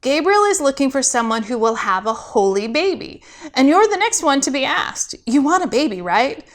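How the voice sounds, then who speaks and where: A woman's voice: high-pitched, sing-song, moderate pace, voice pushed up. A woman voicing an eager, awkward young novice angel in a storybook tale, nervous, rambling and apologetic. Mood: nervous babbling.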